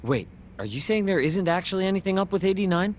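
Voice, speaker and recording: A man's voice: high voice, expressive, fast. A man playing a laid-back, flippant young sleuth in an English-language video game, casual and wry. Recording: music behind the voice.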